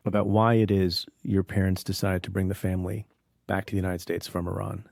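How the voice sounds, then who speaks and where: A man's voice: deep voice, level tone, a little fast, vocal fry, a little mellow, no echo, studio quality. A man from India hosting a podcast interview, asking questions in a joking, teasing way. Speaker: podcast host.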